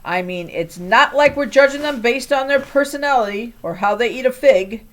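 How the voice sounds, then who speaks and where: A woman reading an audiobook as a gruff, argumentative old male motel manager with a raspy voice. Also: on the high side, expressive, moderate pace, voice pushed up, natural voice. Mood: grumpy, argumentative.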